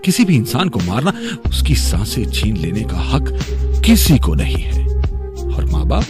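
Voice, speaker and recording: A man's voice: mid-range voice, wildly swooping pitch, measured pace, music in the background. A man playing the solemn, moralising narrator of a radio crime serial in a dramatic style.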